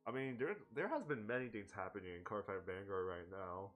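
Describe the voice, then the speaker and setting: Laid-back low-voiced man chatting. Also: fairly quick, phone-quality sound, faint music behind. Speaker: streamer co-host. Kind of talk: casual chat.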